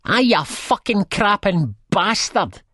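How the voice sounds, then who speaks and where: Man acting a drunk, high-pitched Scottish woman. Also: very animated, slow pace, bright, forceful tone, dry recording, clean studio sound, heavily acted. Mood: angry roaring. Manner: slurred, shrieking.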